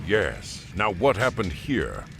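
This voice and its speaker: A man voicing a blunt, gruff soldier in a video game. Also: low voice, huge pitch swings, slow, noisy background, heavily acted. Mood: exasperated. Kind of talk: dramatic game cutscene acting.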